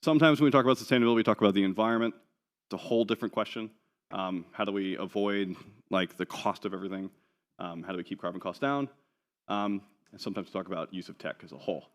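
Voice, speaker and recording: Guy w/ a lowish voice doing a laid-back conference talk, jokey asides, lots of ums. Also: quick pace. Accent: none New Zealand. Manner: casual, chatty, wry asides with many ums. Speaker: conference speaker. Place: conference hall.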